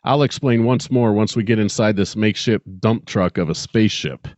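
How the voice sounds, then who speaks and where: A man voicing a brisk, cheeky male spaceship pilot in a dramatic story reading, informal and teasing. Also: on the low side, darkish tone, no echo, lightly acted. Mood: eager, friendly.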